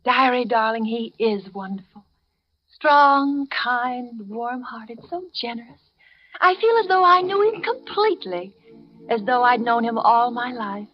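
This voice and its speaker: An actress playing a naive, romantic, nervous bride with a theatrical, hesitant manner in a 1940s radio thriller. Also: female voice, fairly high pitch, expressive, unhurried. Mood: rapturous. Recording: dull, noisy 1940s radio recording.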